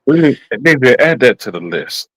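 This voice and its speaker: A man's voice: medium pitch, brisk, a crowd in the background. A man from New York telling stories in a casual podcast chat, acting out the quotes. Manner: animated storyteller, acts out quotes.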